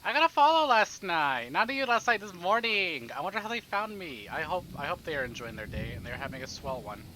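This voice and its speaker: A man's voice: fairly high pitch, sing-song, brisk, noisy background. A man hosting a casual livestream, chatting to viewers in a playful, rambling, exclamatory way and often repeating himself. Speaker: livestream host, gamer.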